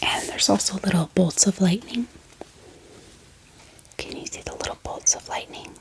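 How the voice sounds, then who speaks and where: A woman speaking in soft, gentle ASMR style, showing items and softly repeating words. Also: mid-range voice, normal speed, vocal fry. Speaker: ASMR book haul host. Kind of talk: soft-spoken whispered ASMR show-and-tell.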